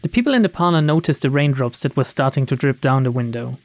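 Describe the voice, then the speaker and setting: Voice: medium pitch, expressive, fast talker, a little mellow. A let's player casually commentating and reading a visual novel's narration aloud. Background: game music behind the voice.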